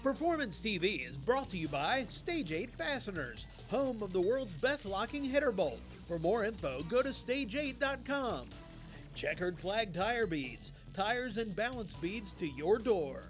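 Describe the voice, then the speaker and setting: Male promo announcer, high voice, very animated sponsor read, music underneath. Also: a little slow. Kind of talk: scripted promotional voice-over.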